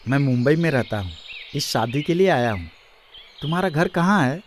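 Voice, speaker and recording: A man playing a hot-tempered, demanding young husband and city thug in an animated moral story. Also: mid-range voice, moderate pace, a little hiss or hum, close to an everyday voice. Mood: smooth, wooing. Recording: music bed under the voice.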